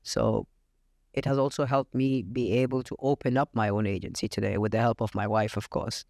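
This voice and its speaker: A gravelly-voiced man from India speaks as a podcast guest, confident and matter-of-fact, pausing often on "uh." The sound is dry and close, with no room echo. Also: on the low side, steady tone, darkish tone. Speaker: real estate agent, podcast guest. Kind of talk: casual interview talk. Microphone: podcast studio mic.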